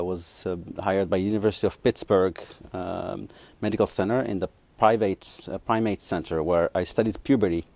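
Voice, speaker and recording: A man from Morocco, a neuroscience professor in his thirties, answering interview questions haltingly with many ums and restarts. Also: low-pitched, creaky, darkish tone, no echo.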